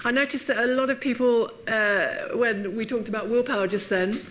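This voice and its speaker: An English woman giving a friendly public lecture, laughing often and filling pauses with ums and uhs. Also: on the high side, fairly even intonation, a little hiss or hum, poor audio. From England. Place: lecture hall.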